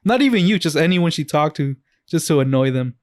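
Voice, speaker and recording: A man's voice: on the high side, animated, fairly quick, dry recording. A man co-hosting a podcast, chatting casually in a playful, rambling way on a close mic. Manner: playful, rambling, talks over others. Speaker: podcast co-host. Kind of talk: casual chat.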